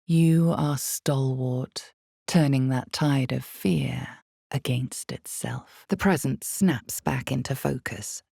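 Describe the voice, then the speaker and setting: An actress playing the grave, ominous narrator of a dark fantasy video game, speaking in a measured, theatrical way with a deep, gravelly voice. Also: female voice, dry recording, clean studio sound, exaggerated voice. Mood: grave, brooding. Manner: measured, deliberate, brooding, theatrical. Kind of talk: dramatic scripted second-person fantasy narration.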